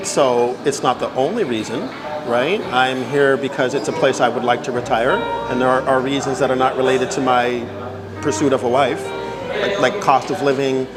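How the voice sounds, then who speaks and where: A man's voice: mid-range voice, fairly even intonation, normal speed, music in the background. A man from Canada in his fifties, answering interview questions at length in a casual way and often checking for agreement. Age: 50s. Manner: talkative, repeats "right?" for agreement. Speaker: interview guest.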